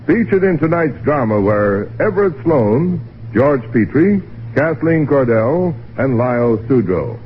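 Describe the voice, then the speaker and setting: A man's voice: mid-range voice, big pitch swings, measured pace, a little hiss or hum. A man hosting a radio program in a formal, dramatic, scripted mid-century announcer style. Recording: narrow-band vintage radio broadcast.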